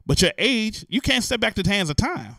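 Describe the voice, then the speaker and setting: Bright, higher-pitched man hosting. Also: fairly quick, creaky, no echo, studio quality. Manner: animated, incredulous, joking, slangy delivery. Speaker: host. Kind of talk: comic commentary on news stories.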